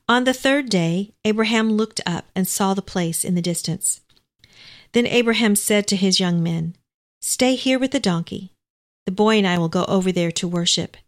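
Woman, scripture reading, measured steady pace, calm radio co-host. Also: mid-range voice.

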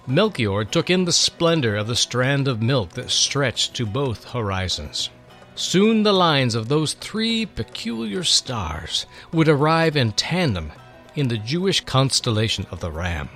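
Man narrating with animated pitch. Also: unhurried, soft background music. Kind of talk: dramatic scripted story narration.

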